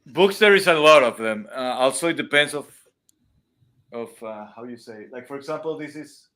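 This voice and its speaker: A man's voice: mid-range voice, animated, moderate pace, fairly bright tone. A man with a non-native accent on a casual video call, explaining music and dance to a host with many restarts and comparisons. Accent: non-native English speaker. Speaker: guest. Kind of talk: casual live-stream chat. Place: room at home with cameras.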